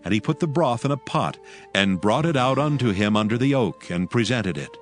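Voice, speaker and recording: A man's voice: mid-range voice, lively intonation, normal speed. A man giving scripted narration for a dramatized scripture recording. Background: faint music.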